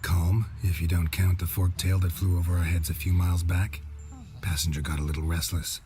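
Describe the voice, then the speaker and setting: An actor playing a world-weary monster hunter in a video game, with terse, deadpan, dry delivery. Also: male voice, low-pitched, brisk, close to an everyday voice. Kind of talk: video game cutscene acting.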